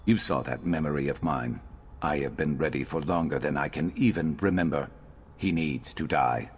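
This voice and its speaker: An actor playing a gruff, vengeful lizard-man companion in a video game, wry but earnest, speaking in a measured, brooding way. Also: a bass voice. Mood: grim, resolute. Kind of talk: video game character dialogue.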